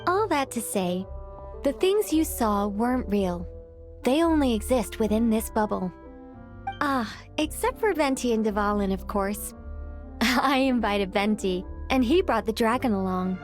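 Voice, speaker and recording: A woman playing a composed, knowing mage in a video game, explaining things in a measured, formal way. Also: a little high, expressive, soft background music. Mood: calm explaining.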